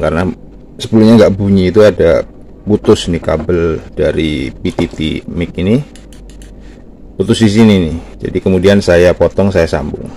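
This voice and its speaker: A man's voice: low-pitched, slight background noise. A man hosting his own channel, talking casually and loosely while he shows a repair step by step. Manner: relaxed, chatty, with many fillers like "nah" and "nih". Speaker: YouTube channel host. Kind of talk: casual hands-on repair demonstration.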